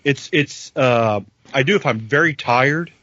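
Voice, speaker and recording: A man in his thirties from Kentucky chats on a podcast with dry, self-deprecating humor. The recording is dead dry and dull. He talks at an easy, unhurried pace. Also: mid-range voice, fairly bright tone, no room sound. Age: 30s. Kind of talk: casual chat.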